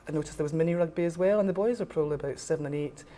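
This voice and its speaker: A woman's voice: deep voice, rapid-fire, mellow. A middle-aged woman from the west coast of Scotland with a west of Scotland accent, speaking candidly and with firm opinions in an informal interview. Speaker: interviewee, rugby official.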